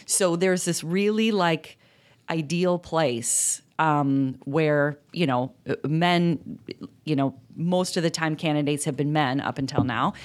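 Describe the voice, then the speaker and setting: A woman's voice: deep voice, takes it slowly. A middle-aged woman co-hosting a podcast, explaining ideas earnestly in casual conversation, with fillers and restarts. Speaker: podcast co-host.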